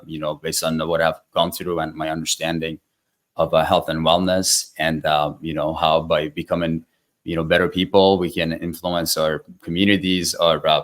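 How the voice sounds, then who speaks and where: Deep, slightly raspy man, fairly even. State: slightly raspy voice from dust after travel. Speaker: guest, wellness practitioner. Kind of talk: conversational interview answers.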